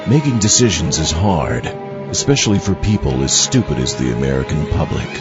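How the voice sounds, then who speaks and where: A man playing a solemn, deadpan, condescending announcer in a parody political campaign ad. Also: extremely low pitch, normal speed. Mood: solemn contempt. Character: condescending deep-voiced male political attack-ad announcer. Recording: dull audio over loud music bed.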